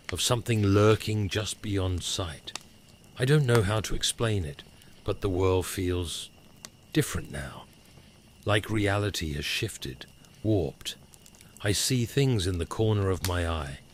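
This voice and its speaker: A man with a very low-pitched voice narrates a scary story in the first person. His delivery is dramatic and full of suspense, as a horror storyteller. A crackling fire is faintly heard behind him. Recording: clear background crackling noise. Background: crackling fire ambience.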